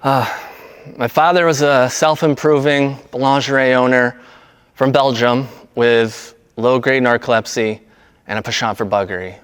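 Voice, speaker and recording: Long reverb, male, smooth clear voice, mid pitch, understated, halting, amateur monologue reading. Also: fairly even intonation, fairly bright tone. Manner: halting, low-key, naturalistic delivery. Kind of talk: casual intro, then understated monologue reading.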